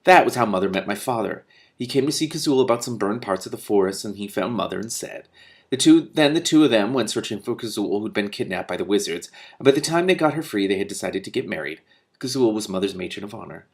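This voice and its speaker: Fast-talking man reading a storybook aloud. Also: fairly low pitch, animated, vocal fry. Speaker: reader and commentator. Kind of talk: expressive book read-aloud with off-script commentary.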